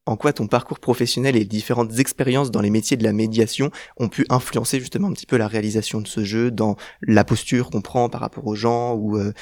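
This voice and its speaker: Brief: male interviewer, quick delivery, long questions, dry sound. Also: fairly low pitch, creaky, darkish tone, no echo. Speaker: podcast host.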